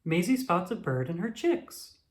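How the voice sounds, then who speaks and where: A woman reading a children's storybook aloud with expressive, animated storytelling and emphatic exclamations. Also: on the low side, a little slow. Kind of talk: scripted read-aloud narration for young children. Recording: roomy, echoing sound.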